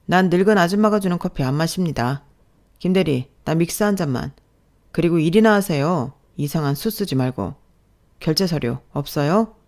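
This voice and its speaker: A woman's voice: sits low, animated, moderate pace, a little mellow. A woman voicing a harsh office manager who insults and berates his staff, with a scolding, sneering manner. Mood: contemptuous scolding.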